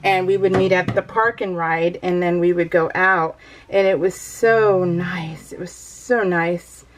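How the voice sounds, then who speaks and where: A woman's voice: fairly low pitch, fairly even intonation, a little slow, poor audio. A middle-aged American woman chatting casually over her craft project as a vlogger, rambling and easily sidetracked, with a bubbly manner.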